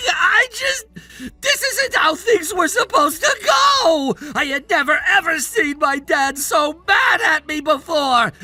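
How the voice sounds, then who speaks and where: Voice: high voice, creaky, sharp tone, dry recording, exaggerated voice. A performer voicing a spoiled, entitled young adult son in a melodramatic story, whiny and indignant. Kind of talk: melodramatic narrated story dialogue.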